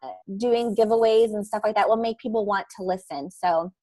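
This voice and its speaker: A woman talking casually and excitedly about podcasting, laughing often and running sentences together. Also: medium pitch. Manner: bubbly, laughs often, fast run-on sentences. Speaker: podcaster guest. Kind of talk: casual panel chat.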